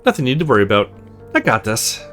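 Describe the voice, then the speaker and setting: Visual novel role: prickly young woman, read by a man, blunt, sarcastic, swinging pitch, music underneath. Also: big pitch swings, quick pace, a bit bright. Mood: frustrated. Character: sharp-tongued, exasperated young woman, loyal but prickly.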